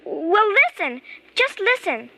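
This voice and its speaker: A woman's voice: extremely high pitch, sing-song, slow pace, vocal fry, bright, forceful tone, full character voice. An actress playing an earnest, determined young schoolgirl with an urgent, pleading manner in an old-time radio drama. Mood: eager, insistent. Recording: noisy vintage radio drama recording.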